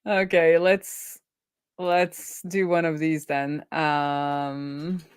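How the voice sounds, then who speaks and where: A woman live-streaming as a programmer, thinking aloud in a casual, halting way as she mutters through her work. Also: low-pitched, little pitch movement, clear, resonant tone, dry recording, studio quality. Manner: halting, muttering to herself while working.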